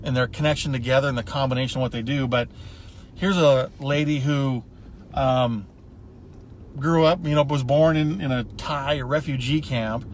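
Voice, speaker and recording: A man's voice: mid-range voice, steady tone, normal speed, slight background noise. A man hosting a casual interview, chatty and enthusiastic, heaping praise on his guests. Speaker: host.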